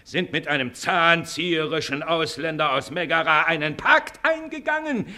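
An actor playing a fiery advocate in a radio play, declaiming bombastic accusations in with rhetorical questions. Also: male voice, a little high, lively intonation, moderate pace, pushed and bright. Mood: accusing. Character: fiery male advocate accusing priests of corruption. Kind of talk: theatrical courtroom oratory in a German radio play.

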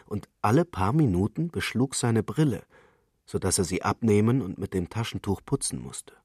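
Man, low-pitched voice, husky, literary narration, close dry studio sound. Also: expressive, moderate pace, a little mellow, no echo.

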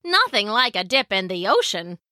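A woman's voice: mid-range voice, very animated, normal speed, sharp tone, no echo, studio quality. A woman voicing a hearty retired female pirate sailor with a salty, exclamatory way of talking, in a storybook narration. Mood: exasperated.

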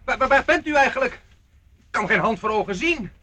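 Grumbling young nephew, high bright voice. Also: male voice, animated, fairly quick, full character voice. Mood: exasperated, flustered. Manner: grumbling, sarcastic. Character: grumpy, exasperated young nephew lost in the dark. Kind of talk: radio play acting.

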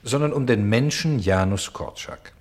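A man acting as an insistent, solemn narrator and director in a German radio play, speaking deliberately with emphatic pauses. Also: medium pitch, echoey room, lightly acted. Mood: earnest, explaining. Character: solemn, insistent male stage narrator presenting a grave play. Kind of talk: theatrical German radio play, addressing the audience.

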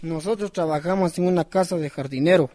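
A man's voice: a little high, fairly quick, dark tone, no room sound, studio quality, lightly acted. A young man with a Guatemalan accent acting a friendly migrant gardener in an amateur radio drama. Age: young adult.

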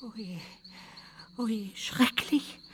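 A woman's voice: fairly high pitch, drawn-out words, fairly bright tone, slight background noise. A woman playing a playful, naive little ghost in a children's radio play, cheeky and childlike. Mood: sympathetic.

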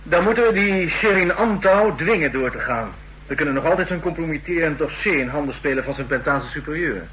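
An eager, hawkish council member, with a bright voice a little higher than average. It comes from an old radio play recording with faint hum. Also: male voice, animated, fairly quick, low-quality recording. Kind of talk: 1960s Dutch radio drama acting.

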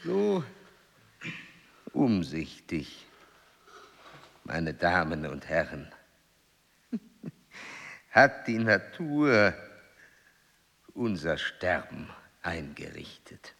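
An actor in a radio play voicing an aged, solitary, disillusioned scholar who speaks in a deliberate, oratorical way with weighty pauses. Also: male voice, deep voice, very animated, creaky, a bit bright, slight background noise, exaggerated voice. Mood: grave, sardonic. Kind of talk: German radio play acting. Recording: long hall reverb, music behind.

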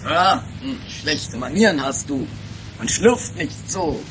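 Fussy know-it-all fiancé, played by a man, lively swings in pitch, nagging and hesitant, trash radio comedy with audible noise. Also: slow pace. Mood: fussy, exasperated. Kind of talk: improvised 1980s trash comedy radio play. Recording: noisy lo-fi 1980s amateur radio recording.

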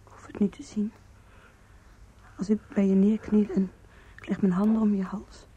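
An actress playing a young woman in love in a radio drama, speaking softly, tenderly and in a whispery voice. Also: mid-range voice, flat delivery, moderate pace, slightly rough, lo-fi sound, close to an everyday voice. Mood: tender, hushed. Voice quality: soft, whispery.

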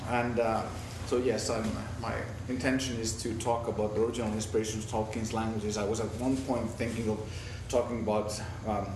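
A man's voice: fairly low pitch, flat delivery. Finnish-accented man lecturing from a distance. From Finland. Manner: halting, many restarts and repeated words. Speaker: guest lecturer, linguistics professor. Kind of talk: academic guest lecture. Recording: echoey room, distant mic, noisy.

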